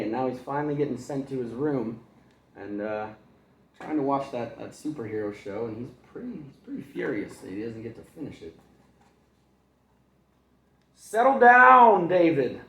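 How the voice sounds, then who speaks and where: A man's voice: mid-range voice, dark tone, lo-fi sound. Chatty male teacher reading aloud, echoey room. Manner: chatty, digressive asides, chuckles. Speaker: kindergarten teacher. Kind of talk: reading a picture book aloud to children.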